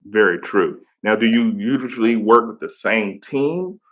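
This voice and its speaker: A man in his thirties with a deep voice, hosting a casual interview and praising his guest with slang. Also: animated, unhurried, dull audio. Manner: enthusiastic, slang-heavy praise. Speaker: podcast host.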